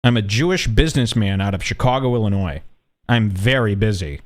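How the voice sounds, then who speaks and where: A man's voice: lowish voice, lively intonation, brisk, pitched below the performer's own voice, lightly acted. A man playing an aggrieved, self-important businessman making an indignant, exasperated customer complaint on a prank call.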